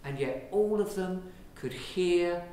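An Englishman, a priest, giving a lively, conversational sermon from the pulpit of a large church, filmed from a distance. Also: sits high, takes it slowly. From England. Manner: lively, conversational preaching with gestures. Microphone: far camera. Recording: very echoey church from far off, faint hiss.

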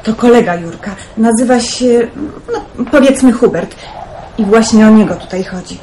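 A woman's voice: on the high side, moderate pace, mellow, close to an everyday voice. A woman acting an insistent young engaged woman in a Polish wartime radio drama. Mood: pleading, insistent. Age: young adult. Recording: faintly noisy radio play recording.

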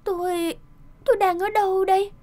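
Very high-voiced young cartoon princess. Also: female voice, flat delivery, normal speed, mellow. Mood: dazed. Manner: exclamatory, dramatic. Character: headstrong, indignant young runaway princess. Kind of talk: animated fairy-tale cartoon acting.

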